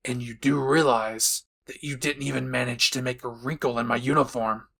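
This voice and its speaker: Give a gravelly, mid-pitched read of a short-tempered anime hero played by a man. He is blunt and sarcastic, with menace under every line. It is an audio roleplay, so keep the gruffness steady. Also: moderate pace. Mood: menacing.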